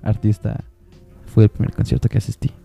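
A man's voice: on the low side, monotone, brisk, vocal fry, mellow, soft background music. A young man from Peru co-hosting a podcast in casual chat, slangy and profane, with frequent filler words. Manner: slangy, swears freely, uses local filler words. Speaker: podcast co-host.

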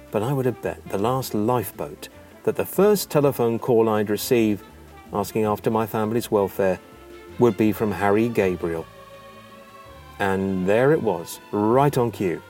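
A man narrating a written memoir in an expressive, measured, theatrical storytelling manner. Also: on the low side.

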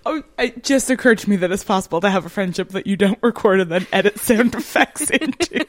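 A woman's voice: mid-range voice, lively intonation, brisk, dry recording, studio quality. A woman co-hosting a podcast in casual chat, laughing often and talking with lots of fillers. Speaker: podcast co-host.

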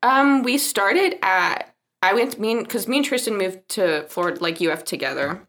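A woman's voice: mid-range voice, lively intonation, moderate pace, a bit bright. Young woman from South Africa, American accent, chatty storyteller guest; laughs easily. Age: young adult. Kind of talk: casual podcast chat.

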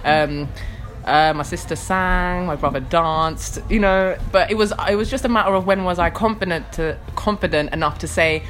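A woman's voice: fairly low pitch, moderate pace, few pauses, slight background noise. A London woman in her late twenties, a rapper and DJ, chatting frankly in an interview and laughing often. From London, England. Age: late 20s. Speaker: rapper and DJ guest. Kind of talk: casual interview chat.

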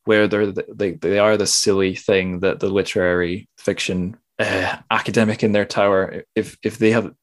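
A man's voice: lowish voice, level tone, moderate pace, hardly any pauses. A man hosting a casual interview, speaking tentatively and searching for his words. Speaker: podcast host.

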